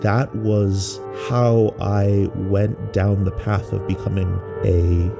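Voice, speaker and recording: An American man explaining creative work in a measured, reflective narration, as a sound artist presenting their own work. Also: lowish voice, flat delivery, creaky. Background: music.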